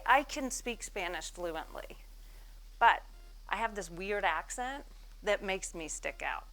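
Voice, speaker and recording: An American woman in her forties tells her business story from a stage mic at a moderate, middle pitch. She swings her pitch and loudness widely as she acts out the people she quotes, and her self-mocking jokes keep the talk lively. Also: low-quality recording. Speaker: speaker giving a business talk. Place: event stage before an audience.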